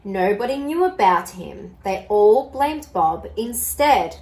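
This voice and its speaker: A woman storyteller reading a children's picture book aloud in an expressive style. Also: medium pitch, slow pace, rough recording.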